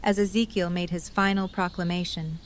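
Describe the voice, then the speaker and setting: A woman's voice: lowish voice, fairly even intonation, brisk, slight background noise. A woman narrating a scripted story for an audio drama.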